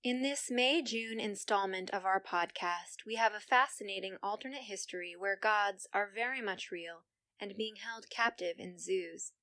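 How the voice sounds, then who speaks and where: A woman's voice: mid-range voice, normal speed, sharp tone, no echo. A woman hosting a podcast, giving a polished, upbeat scripted introduction to a story episode. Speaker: podcast host.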